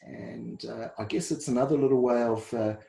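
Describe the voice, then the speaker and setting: A middle-aged South African man, a dietitian and first responder, answers interview questions in a halting way and often repeats words. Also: mid-range voice, steady tone, vocal fry, warm tone. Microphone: video call. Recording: roomy echo.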